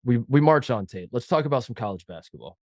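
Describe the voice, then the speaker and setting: A man's voice: medium pitch, animated, fast talker, darkish tone, dry recording, clean studio sound. A man co-hosting a sports podcast while fighting a head cold, sounding nasal as he rambles and jokes at his own expense. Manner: rambling, joking, self-mocking. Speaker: podcast co-host. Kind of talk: casual sports chat.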